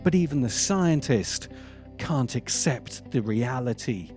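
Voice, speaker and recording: A man narrating a scripted video essay as its host, speaking deliberately with dramatic pauses and emphasis. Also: medium pitch, lively intonation, a little mellow, faint music behind. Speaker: host of a film commentary channel.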